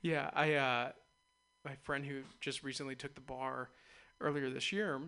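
A man's voice: medium pitch, little pitch movement, unhurried, bright, forceful tone, clear, resonant tone. A man hosting a radio interview show, chatting loosely in a rambling way and laughing easily. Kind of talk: casual interview chat.

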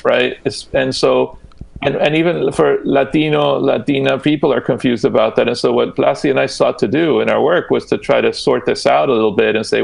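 A male guest researcher with a very creaky, fry-laden voice at an ordinary middle pitch. He talks in an explanatory, conversational way, regularly checking that the listener follows. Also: poor audio. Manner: explanatory, often checks in with "right?".

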